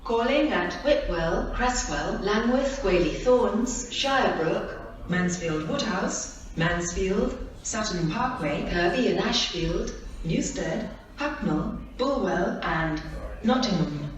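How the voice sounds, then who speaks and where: An automated station announcer, a woman, reading railway announcements in measured, evenly stitched phrases. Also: mid-range voice, lively intonation, slight background noise. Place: outdoor railway station platform. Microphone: public address speakers recorded from a distance. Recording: echoey muffled station tannoy from far off.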